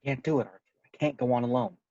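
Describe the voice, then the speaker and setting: A man's voice: medium pitch, fast talker, mellow, dry recording, natural voice. A man playing an earnest, troubled young Jedi apprentice in an amateur script read over a video call. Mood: dejected, reproachful. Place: home room, on a video call. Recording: dull, distant video-call mic.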